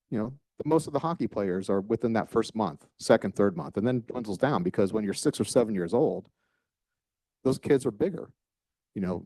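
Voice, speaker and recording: A man speaking informally on a panel, discursive and halting, often repeating his phrases as he goes. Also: on the low side, darkish tone, no room sound, poor audio. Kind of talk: informal unscripted panel talk.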